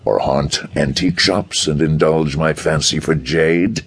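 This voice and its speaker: A man voicing a gruff, exasperated federal police chief, blunt and grumbling, with a gravelly voice, in a pulp detective audiobook. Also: very deep voice, unhurried, voice pushed up, exaggerated voice. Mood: teasing, amused.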